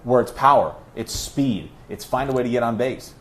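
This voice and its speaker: A man's voice: mid-range voice, lively intonation, moderate pace, slight background noise. A man who works as a play-by-play announcer, talking conversationally and at length as an interview guest, pressing his points with emphatic repetition.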